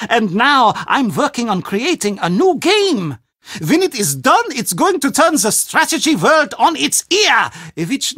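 A woman's voice: high-pitched, a bit bright, dry recording, clean studio sound, heavily acted. An actress playing an earnest, proud German woman in a video game, with a German accent and an emphatic, precise delivery. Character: German castle-dwelling woman, earnest, animated, proud of board games. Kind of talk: video game character dialogue.

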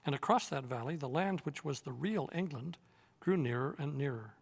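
Older male reader, slightly raised pitch, calm storyteller, reverb tail. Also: gravelly, breathy edge. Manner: measured, steady storytelling. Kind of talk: reading a children's novel aloud. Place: studio set with armchair and lamp.

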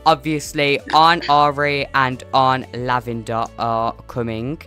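A woman's voice: rumbling low, little pitch movement, takes it slowly, fairly bright tone, soft background music, full character voice. A woman playing a chatty, slightly awkward father who rambles through a family roleplay game.

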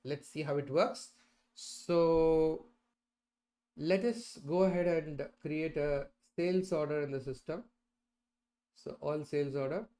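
A middle-aged man with a slightly high voice teaches a software tutorial. He explains step by step and often checks that the listener follows with short tag questions. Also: steady tone, slow. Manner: checks in often with "right?" and "okay". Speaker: instructor presenting a software tutorial. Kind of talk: explanatory screen-share tutorial. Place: indoors, at a computer.

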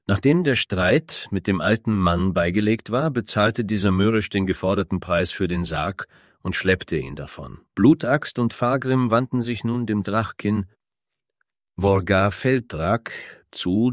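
A man with a low voice narrates a fantasy tale from a script. The recording is very dry, with hardly any room sound. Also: unhurried, no echo.

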